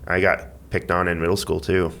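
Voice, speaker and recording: A man chatting casually as a podcast guest, in a halting, rambling way as he thinks aloud. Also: deep voice, flat delivery, gravelly, fairly bright tone. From Missouri, United States. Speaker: podcast guest, amateur athlete and school IT worker. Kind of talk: casual interview chat.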